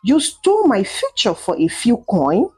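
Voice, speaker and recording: A woman's voice: on the high side, huge pitch swings, a little slow, darkish tone, breathy edge, no room sound, clean studio sound, faint music behind, full character voice. A woman voicing a resentful young daughter in a folktale, wounded and accusing. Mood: bitter, accusing.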